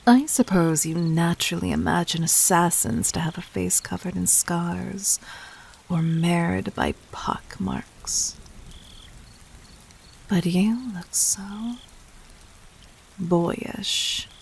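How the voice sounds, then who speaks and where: A woman playing a poised, seductive demon queen in an intimate audio drama, breathy and husky, speaking with formal, teasing condescension. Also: lowish voice, steady tone, slow, pauses often, a little hiss or hum. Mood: charmed, condescending.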